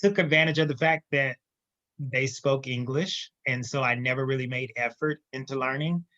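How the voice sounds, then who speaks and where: A man telling his story as a podcast guest, emphatic and lively. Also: mid-range voice, moderate pace, no room sound, highs cut off. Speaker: guest entrepreneur. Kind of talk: casual interview storytelling.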